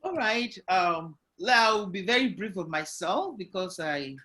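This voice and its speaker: High, bright-voiced man. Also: unhurried. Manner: animated storyteller, loud voice. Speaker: guest entrepreneur. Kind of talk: online interview, personal story. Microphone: video call.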